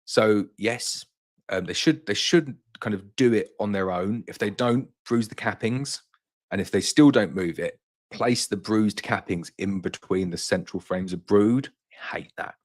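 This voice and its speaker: A man's voice: on the low side, measured pace. A young man hosting a casual live-stream Q&A and answering viewers' questions, his throat a little tired from a long day of talking. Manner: reads viewer comments aloud in a brighter voice, then answers plainly.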